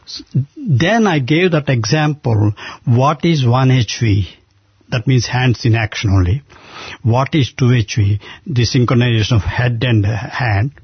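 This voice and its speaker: Older man, Indian accent, earnest halting storyteller; husky voice, dull lo-fi recording. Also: medium pitch, no echo. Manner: earnest, halting, many restarts. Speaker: guest. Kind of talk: conversational storytelling. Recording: dull muffled lo-fi sound.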